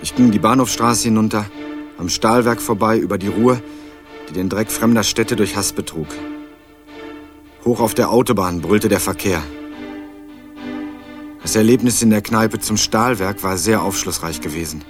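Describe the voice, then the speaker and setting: An actor playing a young student in a radio crime drama, speaking in a dry, laconic, probing way. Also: male voice, lowish voice, normal speed, pauses often, over music, close to an everyday voice. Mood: dry, reflective. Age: young adult. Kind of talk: 1980s radio crime drama, scripted narration and dialogue.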